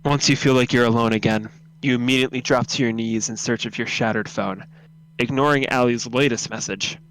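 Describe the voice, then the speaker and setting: A man's voice: mid-range voice, steady tone, brisk, a bit bright, low-quality recording. Male narrator for a live horror read-through, mid-range voice, light background noise on a home mic.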